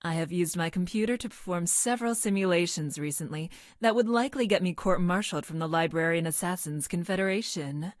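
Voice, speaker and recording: A stilted, logical young woman scientist from the future , acted by a woman for a video game. Her voice sits slightly low and is recorded very dry. She words everything formally and precisely, with clinical deadpan delivery. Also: fairly quick, few pauses, no echo, lightly acted. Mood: deadpan affection. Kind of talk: video game character voice lines.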